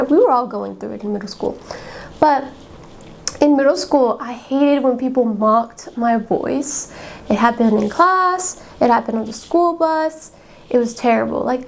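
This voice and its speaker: Chatty young woman with a high-pitched voice. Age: young adult. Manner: candid and chatty, fillers like "like" and "um", laughs often. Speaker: podcast host. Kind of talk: casual personal storytelling.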